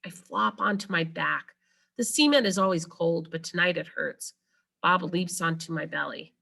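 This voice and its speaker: A woman's voice: on the low side, moderate pace, creaky, pushed and bright. A woman reading a children's novel aloud as a storyteller. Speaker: read-aloud reader.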